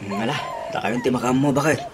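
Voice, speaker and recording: A woman voicing a gruff, doubting husband in a comedy radio drama, grumbly and full of questions. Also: rumbling low, fast, noisy background.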